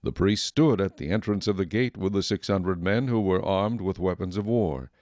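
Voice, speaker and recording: A man reading scripted Bible narration in a measured, solemn storytelling manner. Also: low-pitched, darkish tone, dry recording, studio quality. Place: dry studio.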